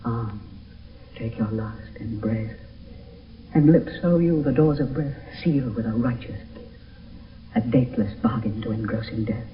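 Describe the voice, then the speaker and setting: An actor playing a grief-stricken young lover in theatrical, impassioned radio Shakespeare verse. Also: male voice, medium pitch, a little slow, pauses often, far from the mic, lightly acted. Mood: tender despair. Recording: muffled, echoey, hissy old radio broadcast.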